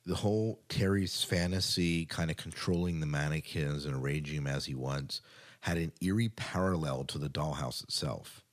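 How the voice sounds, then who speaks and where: A man's voice: very deep voice, normal speed. A man co-hosting a podcast in casual chat about TV news, stressing points by repeating his words. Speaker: podcast co-host.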